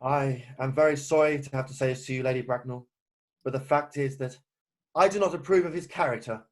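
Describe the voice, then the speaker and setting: A man playing a proper, earnest gentleman guardian in an amateur play reading, formal and stiffly indignant. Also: mid-range voice, brisk, natural voice. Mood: firm, formal. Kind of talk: amateur play read-through.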